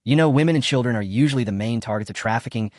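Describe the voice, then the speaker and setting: A man's voice: mid-range voice, very fast, no room sound, studio quality, close to an everyday voice. Earnest young cop, as a man reads him. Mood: serious. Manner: serious, earnest. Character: earnest young male police officer.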